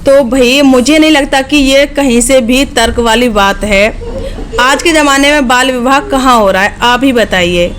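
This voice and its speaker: A woman's voice: high-pitched, measured pace, a bit bright. A woman in her late twenties, a vlogger chatting directly to her viewers in a casual, frank way, often checking in with "okay". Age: late 20s.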